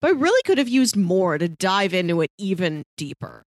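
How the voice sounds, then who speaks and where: A woman's voice: lowish voice, sing-song, a little fast, no echo, studio quality. A woman co-hosting a podcast, giving her opinions in casual review chat. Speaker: podcast co-host.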